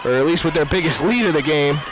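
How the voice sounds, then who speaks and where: A young man with a high-pitched voice does play-by-play for live radio basketball. He calls the action from courtside over a very loud crowd and heavy arena noise. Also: animated, fast talker. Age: young adult. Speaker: play-by-play announcer. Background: loud crowd, bouncing ball, heavy noise.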